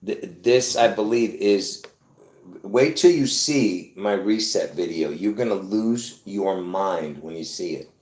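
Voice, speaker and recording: A man from Lebanon hosting his own video, talking excitedly to viewers in a rambling, repetitive way with bursts of laughter. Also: low-pitched, slow pace, reverberant. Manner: excitable, repeats himself, laughs between points. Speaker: video host. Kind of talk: rambling casual monologue to viewers.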